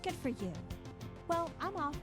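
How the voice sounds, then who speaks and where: A woman playing an easygoing young student gamer in a visual novel, relaxed and playfully teasing. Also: very high-pitched, a little slow. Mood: easy, teasing. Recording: music playing over the voice.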